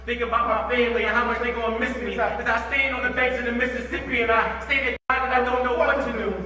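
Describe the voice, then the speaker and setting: High-voiced man reciting poetry in echoey hall. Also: level tone, fast, pushed and bright. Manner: impassioned, rhythmic delivery with repeated refrains. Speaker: spoken word poet performing at a concert. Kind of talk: dramatic spoken word poetry recital. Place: large reverberant indoor performance space. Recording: echoey concert hall, far from mic.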